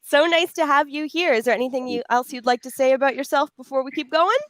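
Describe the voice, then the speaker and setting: A woman's voice: sits high, fast, fairly bright tone, no echo. A woman hosting a panel, chatty and effusive, with emphatic delivery as she addresses guests and audience. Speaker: panel host and audio drama voice actor. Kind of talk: hosting a pre-recorded panel, introducing guests.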